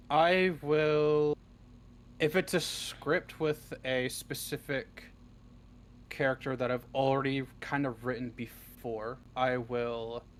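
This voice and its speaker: Man, mid-pitched voice, casual interview guest, swears freely, faint noise on a livestream call. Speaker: interview guest, audio roleplay script writer. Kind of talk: casual, unscripted interview answers.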